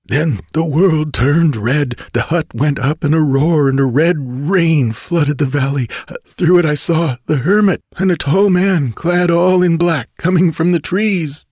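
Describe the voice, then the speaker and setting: A man voicing a wounded, dying male bandit who mutters slowly and strains to speak. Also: fairly high pitch, a little mellow, breathy edge, no room sound, clean studio sound, pitched above the performer's own voice.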